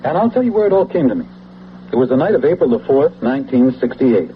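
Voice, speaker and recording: An actor playing a zealous, scheming political leader and veteran, speaking in a grandiose, persuasive oratorical way in a vintage radio drama. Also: male voice, mid-range voice, sing-song, fast, lightly acted. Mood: self-important. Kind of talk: 1970s radio drama acting. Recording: muffled, noisy old radio broadcast.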